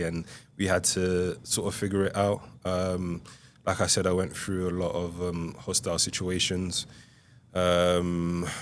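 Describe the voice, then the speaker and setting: A young man from Congo, a podcast guest, telling his life story in a soft, hesitant way with many pauses. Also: sits low, a little hiss or hum. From Republic of Congo. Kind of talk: reflective interview storytelling.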